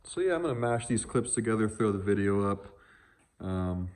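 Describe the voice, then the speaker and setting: A man with a deep, low voice gives a casual, unscripted walkaround vlog. He sounds tired and offhand. His manner is crude and joking, with easy swearing. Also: expressive, moderate pace, a little mellow. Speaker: vlogger showing truck upgrades.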